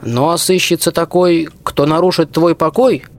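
Man as higher-voiced folk-tale archer husband. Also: steady tone, moderate pace, natural voice. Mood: reassuring. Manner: folksy rhymed speech. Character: plain-spoken, loyal young husband, a royal archer. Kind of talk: fairy-tale radio play.

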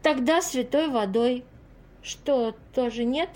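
Woman playing high-voiced, fretful, kind-hearted hostess. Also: takes it slowly, a little mellow. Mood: hesitant, solicitous. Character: exasperated, kind-hearted single woman hosting an unwelcome ghostly guest. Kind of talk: amateur audio play acting.

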